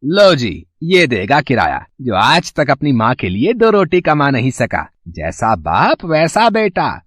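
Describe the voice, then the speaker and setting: Guy acting a mean village moneylender, harsh n taunting, voice a bit high and jumps all over, moral story. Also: a bit bright, no room sound, studio quality. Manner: harsh, scornful, menacing. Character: cruel, taunting village moneylender landlord threatening eviction. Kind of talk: dramatised Hindi moral story.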